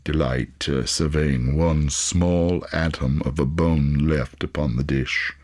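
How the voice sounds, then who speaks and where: A man's voice: rumbling low, steady tone, slow, a little mellow. A man reading a storybook aloud as an audiobook narrator, with theatrical, dramatic delivery. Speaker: audiobook reader.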